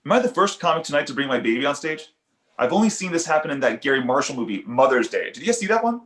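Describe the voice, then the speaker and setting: A man playing an awkward young father and amateur comedian in an animated comedy table read, hesitant and stammering. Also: fairly high pitch, fast talker. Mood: nervous. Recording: roomy echo.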